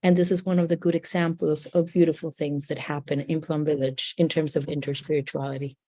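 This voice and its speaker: A woman from Costa Rica with a Costa Rican Spanish accent, telling an earnest, measured story on a video call. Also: low-pitched, level tone, mellow. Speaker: community member. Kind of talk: prepared remarks on video call.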